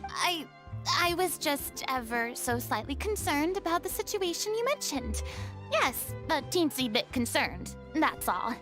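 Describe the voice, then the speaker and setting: A woman's voice: sits high, sing-song, a little slow, a bit bright, faint music behind, full character voice. A woman playing a proud but sheepish young former star performer in a video game, with a theatrical, polite manner. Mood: flustered.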